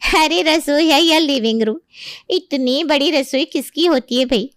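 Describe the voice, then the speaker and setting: A woman's voice: high voice, unhurried, fairly bright tone, no room sound, studio quality. A woman voicing a nosy middle-aged neighbourhood auntie who taunts and mocks in a moral story. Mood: mocking.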